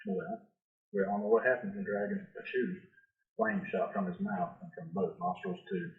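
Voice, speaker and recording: A man reading a rhyming children's picture book aloud in a measured, sing-song storytelling style. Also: fairly high pitch, big pitch swings, creaky. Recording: roomy echo, thin phone-like sound.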